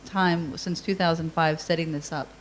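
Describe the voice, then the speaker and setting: A woman's voice: deep voice, fairly even intonation, moderate pace, slight background noise. A woman interviewer asking brief, direct questions in a business interview.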